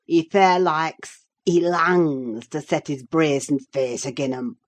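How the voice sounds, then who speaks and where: Storybook role: sour old Yorkshire manservant, acted by a woman, slow, low-key, broad rustic dialect. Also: fairly even intonation. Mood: grim relish. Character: dour rustic old male servant, gossiping sourly.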